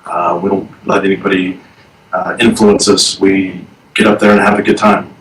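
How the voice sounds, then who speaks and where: A man in his thirties speaks as a band guest, slow and hesitant, full of fillers. His voice is low-pitched and sounds far from the mic in an echoey room, with faint noise around it. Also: little pitch movement, fairly bright tone. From Texas. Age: 30s. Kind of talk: casual interview chat.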